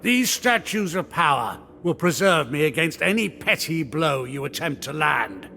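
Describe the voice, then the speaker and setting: An actor playing a proud, defiant old battle wizard in a game, stern and declamatory. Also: male voice, a little high, sing-song, unhurried, vocal fry, sharp tone, a little hiss or hum, full character voice. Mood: stern defiance. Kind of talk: video game cutscene acting.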